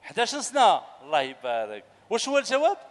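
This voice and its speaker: A man's voice: high voice, fast, slight background noise. A man in his thirties with an Algerian Arabic accent, hosting a children's show and quizzing children on stage with a handheld mic in an echoing sports hall. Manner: animated, coaxing, asks children questions. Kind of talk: lively quiz with children on stage. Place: large indoor sports hall full of children. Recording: echoey hall, loud crowd.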